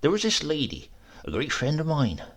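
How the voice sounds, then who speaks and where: A man's voice: on the high side, wildly swooping pitch, normal speed. A man voicing a boastful, sly old uncle, savouring each phrase as he tells tall tales in a storybook reading. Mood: sly, significant.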